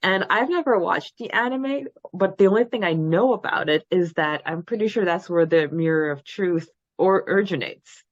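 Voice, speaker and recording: Lower-pitched woman podcaster, slightly dull dry sound. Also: normal speed, few pauses, dry recording. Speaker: podcast co-host. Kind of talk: casual fan discussion chat.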